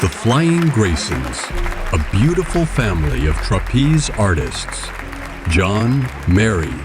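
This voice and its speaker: A man's voice: fairly low pitch, very animated, slow. A man giving scripted narration for an audio drama. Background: music and crowd sounds.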